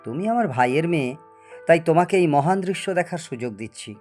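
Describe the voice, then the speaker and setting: A man acting a grandiose, animated scientist uncle. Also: fairly high pitch, fairly quick, soft background music, natural voice. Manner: grandiose, lecturing, teasing. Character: boastful, eccentric older male scientist, an uncle. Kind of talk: dramatised audio story acting.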